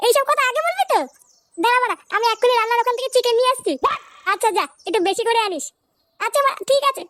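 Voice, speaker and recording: A performer voicing a brash, crude, excitable cartoon boy, in a shrill, pitched-up voice. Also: quick pace, no room sound, clean studio sound. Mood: brash, excited. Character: foul-mouthed cartoon boy, loud, cheeky, excitable drinking pal. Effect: pitch-shifted up. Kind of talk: comic cartoon dubbing.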